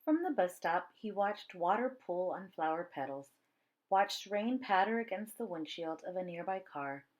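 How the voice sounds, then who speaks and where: A woman reading a children's story aloud in an expressive narrating style. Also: on the low side, moderate pace, poor audio. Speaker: storybook reader.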